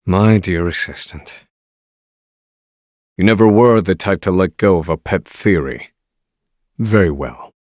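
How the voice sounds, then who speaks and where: A man voicing a grave, literal-minded scholar of magic in a video game, speaking in a formal, measured and stern way. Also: low voice, no echo. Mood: dry, resolute. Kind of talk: dramatic video game voice acting.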